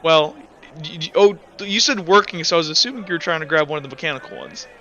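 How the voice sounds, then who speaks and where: Guy gming a tabletop rpg, bright sharp voice, lots of likes and uhs, bit muffled recording. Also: on the high side, very animated. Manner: halting, filler-heavy. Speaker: game master. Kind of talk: casual improvised tabletop game narration.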